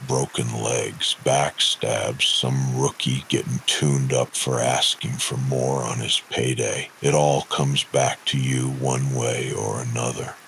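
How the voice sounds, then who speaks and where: An extremely low, gravel-choked male voice for a bitter, world-weary wrestler. He is curt and menacing, in noir radio drama style, with clear ambient noise behind him. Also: flat delivery, takes it slowly, few pauses, fairly bright tone, full character voice, poor audio. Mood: accusing. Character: bitter, menacing old wrestler hunting a killer. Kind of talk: noir audio drama acting.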